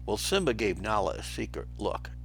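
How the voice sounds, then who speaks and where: A man's voice: on the low side, very animated, moderate pace, creaky, a little hiss or hum. A man reading a children's storybook aloud as a teacher, narrating expressively with brief asides.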